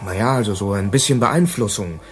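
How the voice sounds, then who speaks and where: A man's voice: lowish voice, fairly quick, close to an everyday voice. An actor playing an impatient, sceptical young male manager in a sci-fi radio play, questioning and pressing.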